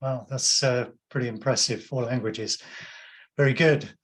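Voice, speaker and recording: A man's voice: mid-range voice, fairly even intonation, measured pace, a bit bright. Guy hosting an interview, friendly questions, some ums and uhs. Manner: friendly, with filler hesitations. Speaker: host and company founder. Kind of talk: interview host.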